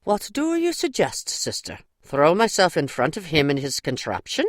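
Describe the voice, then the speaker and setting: A woman's voice: low-pitched, huge pitch swings, normal speed, no room sound, studio quality, full character voice. A woman playing a stern, formal, authoritative mother superior in an audio drama.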